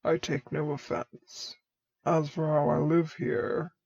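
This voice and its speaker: A woman voicing a grave but gently fond masked dark lord in a fan fiction audiobook, with low, measured, formal speech. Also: level tone, vocal fry, dry recording, dull audio, exaggerated voice. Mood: calm, gentle.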